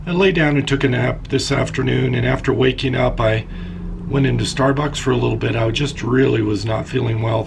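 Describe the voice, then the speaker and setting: A middle-aged man speaks in a mid-pitched voice that is raspy and hoarse, with a gravelly fry running through it. He thinks aloud at an easy pace. Faint background noise sits under his voice, recorded on a camera mic inside a van. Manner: unhurried, thinking aloud with fillers. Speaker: vlogger. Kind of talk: casual vlog narration while working.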